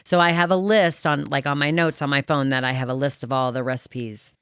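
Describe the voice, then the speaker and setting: A middle-aged woman with a deep, low voice tells rambling stories in relaxed chat. She leans on fillers as she goes. The sound is close and dry, with no room around it. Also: fairly quick, clean tone, no echo. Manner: chatty storyteller, lots of fillers. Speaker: guest business owner. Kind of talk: casual chat. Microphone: studio mic.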